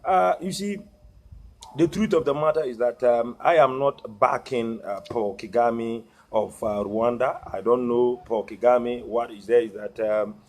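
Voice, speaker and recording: A Ghanaian man, a social media activist, talking to his viewers in a halting way, with many "uh" fillers, repeated words and a habit of asking "what" before the key word. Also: mid-range voice, a little mellow. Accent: Ghanaian English. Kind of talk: monologue addressed to viewers.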